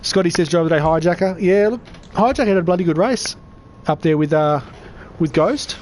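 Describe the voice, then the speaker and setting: A man commentating an online racing league, croaky from losing his voice, upbeat and chatty with viewers. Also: high-pitched, fairly quick, darkish tone, slight background noise. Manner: upbeat, chatty, reads out chat. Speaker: race commentator. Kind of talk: live sim racing commentary.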